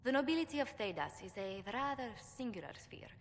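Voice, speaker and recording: A woman's voice: fairly high pitch, very animated, a little fast. A courtly female ambassador in a fantasy video game. She is composed and diplomatic, choosing her words formally. The voice rings in a large hall with a little noise behind it. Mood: composed, tactful. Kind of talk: video game dialogue acting. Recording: long hall reverb, faint noise.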